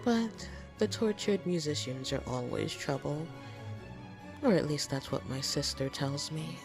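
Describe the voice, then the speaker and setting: Female vampire, played by a woman, ASMR roleplay. Very low, unhurried, fond and possessive, soft teasing whispers. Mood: relaxed, confiding. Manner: soft, breathy, teasing, often dropping into whispers. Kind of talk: intimate ASMR roleplay, scripted monologue to listener. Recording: music behind the voice.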